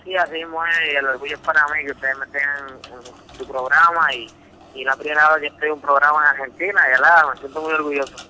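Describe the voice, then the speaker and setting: Earnest Puerto Rican man, bright voice. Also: a little high, fairly even intonation, quick pace, a little hiss or hum, poor audio. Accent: Puerto Rican Spanish. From Puerto Rico. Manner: earnest, repeats words, emphatic retelling. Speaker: interviewed boxing champion. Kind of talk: phone interview on radio.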